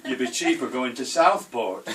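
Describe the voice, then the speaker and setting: An actor playing a jovial, wordy shopkeeper. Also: male voice, mid-range voice, animated, normal speed, fairly bright tone, far from the mic, a little hiss or hum, natural voice, poor audio. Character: jovial old-fashioned English sweet-shop keeper.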